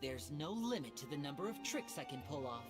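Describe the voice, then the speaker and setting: A man voicing a suave, confident young stage magician in a video game, with theatrical, smooth delivery. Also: on the high side, sing-song, fairly quick, over loud music. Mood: composed, intrigued.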